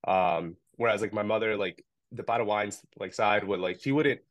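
A man's voice: fairly low pitch, normal speed, no echo, dull audio. A young man talking casually as an interview guest, rambling a little with lots of "um" and "like". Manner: relaxed, rambling, many fillers like "um" and "like". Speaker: podcast guest, founder of a food retailer.

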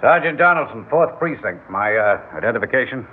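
A narrow, slightly noisy old radio recording carries a man's varied-pitched voice. It is an actor as a police sergeant in a radio play, polite and businesslike. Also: lively intonation, brisk, natural voice. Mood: official, polite. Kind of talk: old-time radio drama acting. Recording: tinny, noisy vintage radio broadcast.

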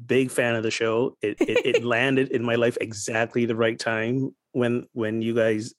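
A middle-aged man with a Barbadian accent tells his story in a lively way, laughing as he goes and repeating words as he gathers himself. His voice sits at an ordinary male pitch and is recorded dry and close, with no room sound around it. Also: moderate pace, no room sound. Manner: animated, laughs while telling stories, repeats words when starting a sentence. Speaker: guest, minister. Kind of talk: conversational storytelling.

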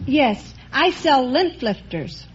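A noisy, muffled vintage radio recording of a middle-aged woman. She has a distinctly high voice and answers conversationally as a quiz contestant being interviewed. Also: very animated, slow.

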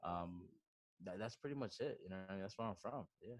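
A man in his twenties with a Bronx accent speaks in a low register. The sound is dry and close. He talks casually and slangily as a podcast guest, mixing Spanish words into his English. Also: fast, no room sound. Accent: Bronx New York. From the Dominican Republic and the Bronx, New York. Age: 20s. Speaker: podcast guest, coffee entrepreneur. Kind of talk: casual interview chat.

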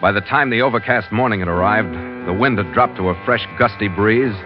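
An actor playing a tough, skeptical American sea captain in 1940s radio adventure, clipped and dry. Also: male voice, on the low side, fairly quick, natural voice. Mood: measured narration. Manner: clipped, dry, hard-boiled. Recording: dull old radio recording, loud music.

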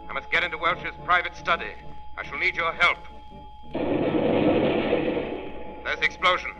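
A man voicing a mysterious, unseen male avenger who speaks slowly, taunting and sinister, in 1930s radio drama. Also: fairly high pitch, pushed and bright, soft background music. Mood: urgent. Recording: muffled old radio broadcast.

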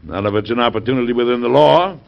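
An actor playing a genial middle-aged American president and husband in an old-time radio drama, speaking formally with a hearty, deliberate manner. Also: male voice, mid-range voice, vocal fry, close to an everyday voice. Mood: firm, courteous. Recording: dull, noisy old radio recording.

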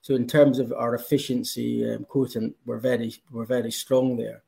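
A man's voice: medium pitch, level tone, moderate pace, gravelly, warm tone. A man from Scotland with a Scottish accent, answering interview questions with enthusiasm and frequent ums. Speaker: company representative.

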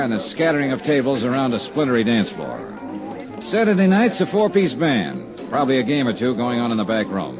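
A dull vintage radio recording of a man playing a hard-boiled insurance investigator. His delivery swings widely in pitch, clipped, wry and persistent, in classic 1950s detective style. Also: lightly acted. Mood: wry, descriptive.

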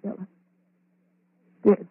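A woman's voice: slow, dry recording, natural voice. An actress playing a grief-stricken young woman in old-time radio horror, dazed and pleading, repeating words as if in a trance. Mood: stunned grief. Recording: muffled, thin old radio recording.

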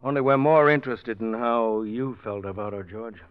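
An actor playing a blunt homicide lieutenant interrogating a suspect in a 1940s radio drama. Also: male voice, medium pitch, moderate pace, clean tone, close to an everyday voice. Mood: stern. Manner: curt, hard-nosed interrogation. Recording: muffled old radio broadcast.

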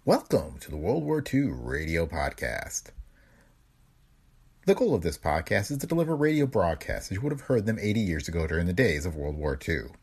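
Low, lively podcast host, a man. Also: moderate pace, breathy edge. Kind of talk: scripted podcast introduction.

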